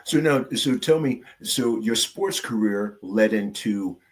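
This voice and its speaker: A man hosting an interview over a video call, asking curious, friendly questions. His voice sits on the low side and he laughs easily. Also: slow pace. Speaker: interview host. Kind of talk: casual interview conversation.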